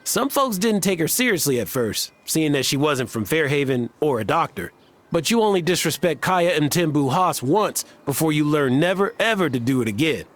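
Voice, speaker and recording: A man's voice: a little high, sing-song, moderate pace. A man playing a proud, loyal villager telling family stories emphatically in a cozy video game. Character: proud, loyal son of island pharmacist.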